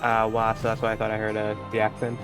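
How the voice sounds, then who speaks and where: A man's voice: fairly low pitch, completely flat delivery, quick pace, music in the background. Trinidadian-New York streamer man chatting. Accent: Trinidadian and New York mix. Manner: chatty, laughs often. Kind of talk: casual stream chat.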